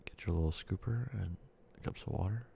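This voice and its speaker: A man with a cold softly speaking and whispering in a rambling ASMR video, sounding congested and short of breath. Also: sits low, brisk, vocal fry, a little hiss or hum. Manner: soft speaking drifting into whispers, rambling with fillers. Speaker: ASMR creator. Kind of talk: soft-spoken ASMR candy-making ramble.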